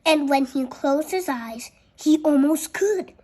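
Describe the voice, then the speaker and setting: A storyteller reading a picture book aloud in a careful, halting way, with childlike, chatty asides about the pictures. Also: sits high, a little mellow.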